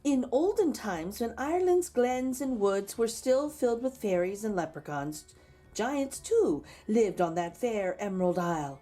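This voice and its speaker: Female storyteller, animated and dramatic, reading to young children over soft music. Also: on the high side, a little slow. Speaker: storyteller reading a picture book aloud. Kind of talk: expressive read-aloud for children with chatty asides.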